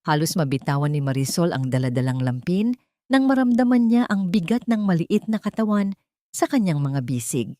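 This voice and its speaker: A female storyteller with a slightly low voice narrates a romantic drama from a script. Her delivery is solemn and emotive. The sound is close and dry, without room echo. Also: big pitch swings, normal speed, warm tone.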